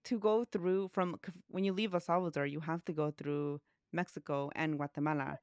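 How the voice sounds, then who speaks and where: A woman's voice: sits low, steady tone, normal speed, no room sound, clean studio sound. A woman from California, a podcast guest, telling stories in casual conversation with lots of "like" fillers. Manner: chatty storytelling, frequent "like" fillers.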